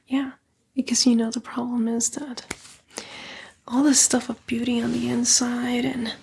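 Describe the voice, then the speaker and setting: A woman's voice: a little high, little pitch movement, measured pace, darkish tone, a little hiss or hum. A woman voicing a sly, sassy female demon in a whispered ASMR roleplay, coaxing and flippant, with disdainful slang. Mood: flattering, sly. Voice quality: breathy, mostly whispered.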